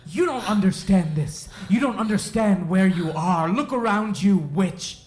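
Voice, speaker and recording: Stern, slow-spoken colonial sheriff. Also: male voice, high-pitched, expressive, soft background music. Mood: accusing, menacing. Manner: stiff, formal, halting, deliberate. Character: stern, halting, accusatory seventeenth-century high sheriff. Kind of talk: period audio drama acting.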